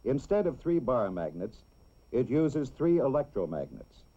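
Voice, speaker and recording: A man narrates a scripted educational film for children in a measured, clearly explanatory way. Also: medium pitch, very animated, warm tone. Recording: dull, lo-fi old film soundtrack.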